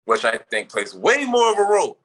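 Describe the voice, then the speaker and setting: A man co-hosting a casual podcast. His voice is bright, and his pitch rises and falls a lot as he loosely muses on faith and life, cursing freely along the way. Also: huge pitch swings, fairly quick. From Barbados. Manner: loose, reflective, swearing freely. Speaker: podcast co-host. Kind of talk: casual chat.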